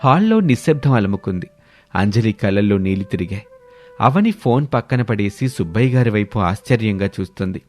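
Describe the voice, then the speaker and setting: Low-voiced man narrating a story over music. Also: animated, fairly quick, darkish tone.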